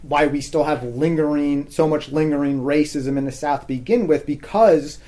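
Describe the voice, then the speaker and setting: An American man talking casually and argumentatively as a podcast guest, halting often and leaning on filler words. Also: fairly high pitch, mellow. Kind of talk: casual opinionated conversation.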